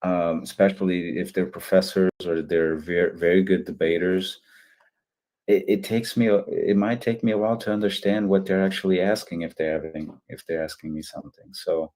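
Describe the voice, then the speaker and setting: Low, mellow-voiced native Portuguese speaker, male. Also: fairly even intonation, moderate pace. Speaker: discussion participant. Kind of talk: casual chat.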